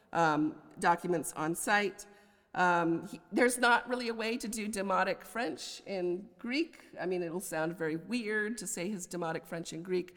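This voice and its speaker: The long reverb of a lecture hall surrounds an American woman's slightly low voice. She lectures like a professor of poetry, in a scholarly way that makes room for informal, conversational asides. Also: a bit bright. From United States. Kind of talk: academic lecture. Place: large indoor lecture hall.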